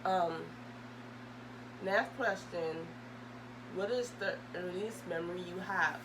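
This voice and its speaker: Low-voiced young woman, noisy recording. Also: slow pace. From Bahamas. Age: young adult. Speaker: video host. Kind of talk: casual question-and-answer vlog chat. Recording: loud humming background noise.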